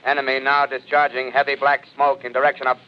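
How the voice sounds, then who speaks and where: An actor playing a military radio operator who reports in clipped call signs in a 1930s radio drama. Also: male voice, mid-range voice, little pitch movement, fast, clear, resonant tone, lightly acted. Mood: flat, formal. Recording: dull old radio broadcast.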